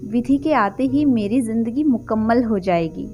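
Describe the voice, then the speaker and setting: An earnest young groom-to-be, voiced by a woman narrator, talks sweetly and devotedly to his friend in an audio story. The voice is high, with soft music behind. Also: normal speed, lightly acted. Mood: happy, earnest.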